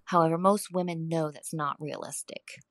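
A woman with a Floridian accent records a voice message for a podcast, her voice a bit low. The sound is close and dry. She talks frankly and chattily, dropping plenty of "y'all". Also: steady tone, moderate pace, dry recording. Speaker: listener sending a voice message.

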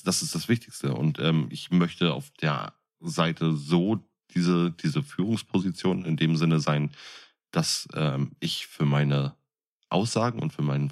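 A man co-hosting a podcast in casual chat, with a jokey, colloquial, buddy-like way of talking. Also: rumbling low. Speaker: podcast co-host.

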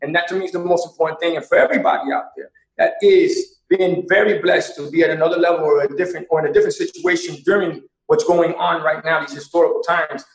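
A man's voice: on the high side, roomy sound, rough recording. A man guest speaking earnestly and motivationally, mixing in Spanish phrases. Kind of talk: casual chat turning to earnest appeal.

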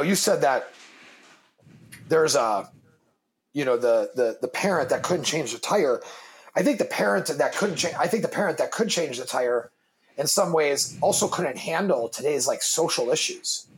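A man in his thirties with a very deep voice, talking casually and emphatically in an interview, swearing freely and repeating words as he starts his thoughts. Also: expressive, unhurried, pauses often, a little hiss or hum. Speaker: guest, business founder and father.

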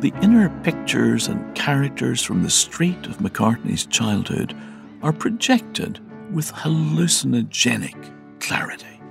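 A man's voice: medium pitch, big pitch swings, a little slow, creaky. A man reading scripted documentary narration as the narrator of a music programme. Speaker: podcast narrator.

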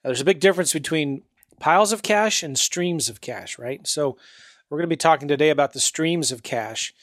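A man's voice: a little high, a little fast, a bit bright, dry recording, studio quality. A man hosting a podcast interview, upbeat and chatty, laughing easily. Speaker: podcast host.

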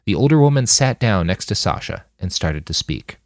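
Expressive, lowish-voiced male audiobook narrator. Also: normal speed, dry recording, clean studio sound. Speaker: author narrating his novel.

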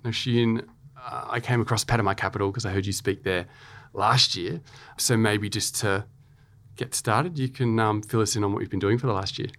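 A man's voice: fairly low pitch, steady tone, normal speed, fairly bright tone. A man hosting an interview, asking casual questions with some hesitation and fillers.

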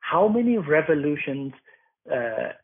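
A man, a documentary maker answering interview questions earnestly, pausing to find his words. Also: fairly high pitch, big pitch swings, husky. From Sri Lanka. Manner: earnest, searching for words, emphatic. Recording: phone-like remote line.

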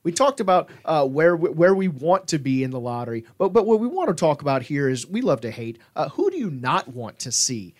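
A man's voice: a little high, very animated, brisk, few pauses. A man hosting a casual sports talk show in a jokey, chatty way. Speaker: podcast host.

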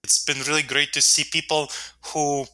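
A man's voice: on the high side, flat delivery, normal speed, sharp tone. A man whose first language is Russian, speaking as an author and podcast guest, explaining his ideas earnestly with frequent fillers. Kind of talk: podcast interview answers.

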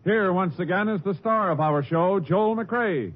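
A man's voice: high voice, unhurried. A man announcing a radio drama in a formal, emphatic, scripted style. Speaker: show announcer. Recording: muffled old radio, loud music behind. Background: loud music.

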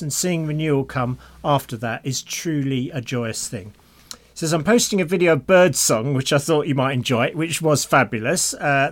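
A man co-hosting a podcast, chatting casually and reading out listener emails. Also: a little high. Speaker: podcast co-host.

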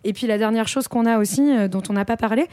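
A woman with a mellow, warm voice explaining calmly and stumbling now and then over her words. The sound is dry and close, with no room around it. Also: a little high, quick pace, dry recording. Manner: explains with frequent hesitations and self-corrections. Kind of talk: casual explanatory chat.

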